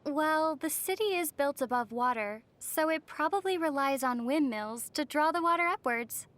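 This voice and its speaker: A woman playing a gentle, curious young female traveler in a video game, speaking in a light, musing, polite way. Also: high-pitched, expressive, moderate pace.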